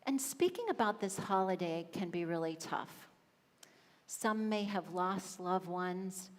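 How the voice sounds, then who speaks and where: A woman's voice: on the low side, lively intonation, slow pace. A woman giving a conversational sermon as a spiritual practitioner, telling family stories. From Swedish and Lithuanian family. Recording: hall reverb.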